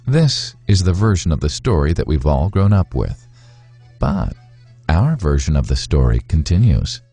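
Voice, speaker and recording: A man narrating a scripted moral fable in a measured, instructive way, with deliberate phrasing. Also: deep voice, mellow, husky, no echo. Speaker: storyteller, narrator.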